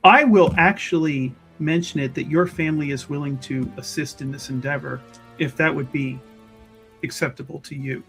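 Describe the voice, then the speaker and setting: A man's voice: on the high side, normal speed, gravelly, a bit bright, soft background music. A man voicing a polite, fussy, well-traveled Chantry woman investigator in a tabletop game, speaking formally and hesitantly with repeated words. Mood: earnest, obliging. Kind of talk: tabletop roleplay dialogue.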